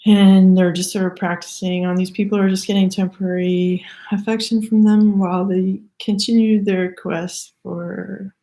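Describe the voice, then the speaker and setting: A woman with a Canadian accent giving a halting, thoughtful spiritual card reading, full of fillers and restarts. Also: medium pitch, flat delivery, rough recording. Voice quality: soft-spoken, hesitant, many fillers and restarts. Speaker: tarot reader.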